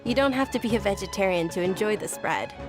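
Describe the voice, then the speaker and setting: A woman playing a gentle, well-mannered young festival guide in a video game, polite and measured as she explains things. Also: mid-range voice, expressive, over music, lightly acted. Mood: gently explaining.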